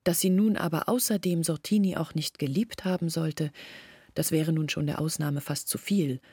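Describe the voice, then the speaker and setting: An actress playing an earnest, reflective village woman in a German literary radio drama, speaking in measured formal phrases. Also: low-pitched, fairly even intonation, mellow, husky, no room sound, clean studio sound. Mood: pondering.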